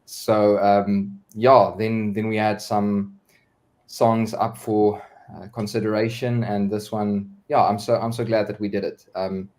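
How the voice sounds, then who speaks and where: Guy, lowish voice, earnest and rambly, lots of um and uh, on a video call. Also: flat delivery, a little slow, a little mellow. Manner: earnest, with many fillers and repeated words. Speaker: singer and songwriter guest. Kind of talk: casual live-stream chat.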